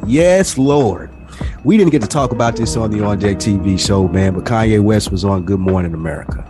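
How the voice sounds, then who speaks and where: A man co-hosting a casual podcast, talking in a slangy, animated storytelling way with some swearing. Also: lowish voice, a little fast, music in the background. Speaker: podcast co-host.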